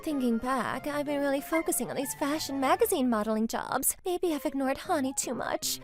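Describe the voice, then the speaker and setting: Casting: high-pitched, lively young woman played by a voice actress, obsessive and passionate, narrating a manga dub melodramatically. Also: normal speed. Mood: wistful, determined. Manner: melodramatic, gushing. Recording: music under the voice.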